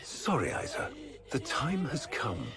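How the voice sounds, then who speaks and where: An actor playing a cold, grandiose, scheming ancient noble villain in a dramatic game cinematic, with theatrical, menacing, deliberate delivery. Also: male voice, medium pitch, huge pitch swings, over loud music. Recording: loud sound-effect ambience behind voice.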